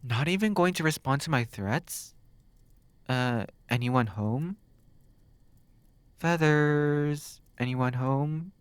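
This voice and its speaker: A man playing a flirty, teasing demon captor in an ASMR roleplay, musing in drawn-out phrases. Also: a little high, a bit bright, no room sound. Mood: exasperated. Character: flirty, teasing male demon captor, smug then exasperated.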